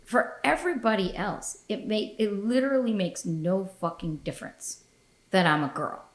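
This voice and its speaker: A woman's voice: mid-range voice, moderate pace. A woman hosting a podcast in casual chat, blunt and profane, laughing often. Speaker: podcast host. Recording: echoey room.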